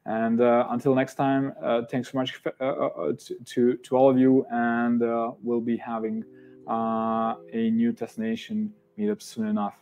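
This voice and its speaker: A man with a Serbian accent hosting an online interview, speaking haltingly with many fillers and restarts. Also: mid-range voice, little pitch movement, a little mellow, faint music behind. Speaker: event host.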